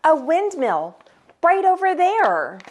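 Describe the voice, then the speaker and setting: A woman voicing an eager, impulsive little girl explorer in a children's storybook, with an excited, exclaiming delivery. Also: very high-pitched, takes it slowly, voice pushed up, full character voice. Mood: excited, insistent. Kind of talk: children's storybook read-aloud.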